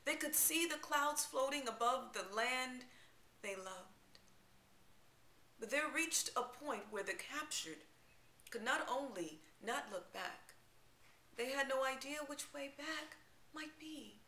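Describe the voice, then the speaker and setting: Bright-voiced woman reciting poetry dramatically. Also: sits high, expressive, a little hiss or hum. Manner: theatrical, emphatic delivery with deliberate pauses. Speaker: poetry reader. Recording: roomy, echoing live-room sound.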